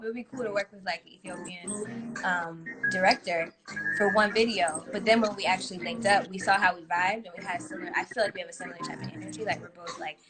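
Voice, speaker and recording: A woman guest in a casual chat, relaxed and reflective, full of likes and you-knows. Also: mid-range voice, low-quality recording. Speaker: guest musician.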